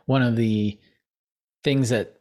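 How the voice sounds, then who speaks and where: A man's voice: mid-range voice, fairly even intonation, slow, darkish tone. A man co-hosting a casual podcast chat, talking in long, digressive runs and laughing at himself as he loses his thread. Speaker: podcast co-host.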